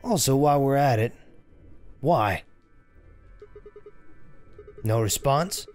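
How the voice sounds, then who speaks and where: A man voicing a confused young male game hero in a low, gravelly, tough-guy growl. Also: animated, slow, a little mellow, soft background music. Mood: gruff.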